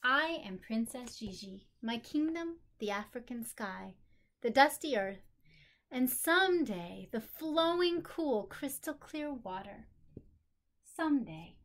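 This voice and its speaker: Storybook girl princess played by a woman, high-ish voice, very drawn-out phrasing, dreamy, lively, expressive. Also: full character voice. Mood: proud, hopeful. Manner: dramatic, lingering phrasing. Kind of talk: expressive picture-book read-aloud.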